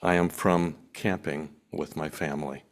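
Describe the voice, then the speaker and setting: A man reading his own personal poem aloud at a church pulpit in a steady, deliberate way. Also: extremely low pitch, a little hiss or hum. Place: church sanctuary.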